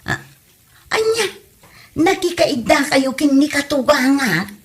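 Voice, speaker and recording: An exasperated Ilocano daughter played by a woman in a comedy drama. Her voice is slightly high, and she questions and scolds her elders in a Filipino accent. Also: big pitch swings, slow, heavily acted. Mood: shocked, reproachful. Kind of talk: comedy radio drama acting.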